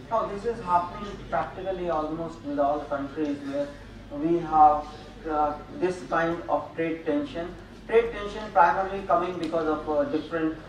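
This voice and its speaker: An older man giving a slow, halting keynote lecture at a conference lectern, with many pauses and fillers. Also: a little high, distant mic. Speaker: keynote speaker. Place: large auditorium at a lectern. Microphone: podium microphone, room sound. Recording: echoey hall, voice from afar, faint noise.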